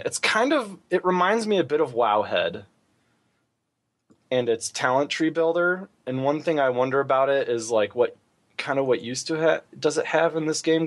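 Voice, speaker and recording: Male podcast co-host, medium pitch, casual gamer talk, informal and thinking out loud. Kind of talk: casual chat about gaming.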